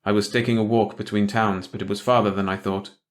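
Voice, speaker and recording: A man's voice: lowish voice, level tone, brisk, close to an everyday voice. A man voicing a guarded stranger who speaks carefully and hesitantly. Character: guarded male stranger from elsewhere.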